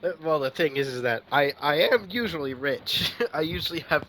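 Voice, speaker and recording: A man's voice: a little high, lively intonation, brisk, pushed and bright, rough recording. A man giving casual, rambling live commentary as he plays a video game. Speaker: game streamer.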